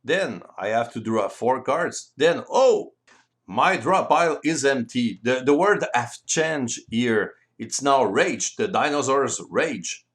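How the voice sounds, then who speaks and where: Male tutorial narrator, French accent, slow and careful non-native delivery with a lively, swooping pitch, presenting tabletop game rules. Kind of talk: explanatory tutorial voice-over.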